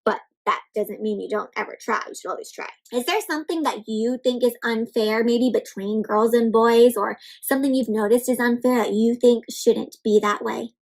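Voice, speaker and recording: A woman reading a picture book aloud to children, interactive and expressive, stressing words and turning to young listeners with simple questions and explanations. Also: a little high, moderate pace, few pauses, low-quality recording. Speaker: children's read-aloud host.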